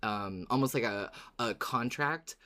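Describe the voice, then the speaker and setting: Excited podcast cohost just chatting, middle pitch voice, swears a bunch, super into it. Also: a little slow. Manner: animated, enthusiastic, swears freely. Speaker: podcast co-host. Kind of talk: casual fan discussion chat.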